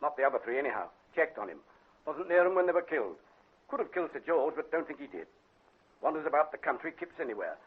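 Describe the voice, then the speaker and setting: An actor playing a British chief inspector with a husky voice, talking in clipped, telegraphic bursts in a radio drama. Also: male voice, fairly high pitch, big pitch swings, fast talker, phone-quality sound. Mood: matter-of-fact. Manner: telegraphic, drops first words of sentences. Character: clipped, hurried male police chief inspector. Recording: thin, band-limited old radio drama.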